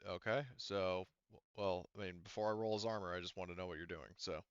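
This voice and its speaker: Low, clear-voiced man running a game. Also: fairly even intonation, fairly quick, no room sound, dull audio. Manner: informal, sweary. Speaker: game master. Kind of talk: running a tabletop roleplaying game online.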